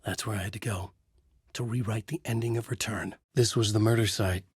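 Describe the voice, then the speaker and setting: An actor playing a haunted, desperate horror writer, narrating in a brooding, intense noir style for a video game. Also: male voice, fairly low pitch, fairly even intonation, fairly quick, breathy edge, dry recording, studio quality. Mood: hushed, intense. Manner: brooding, intense, terse delivery. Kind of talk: dramatic first-person noir narration.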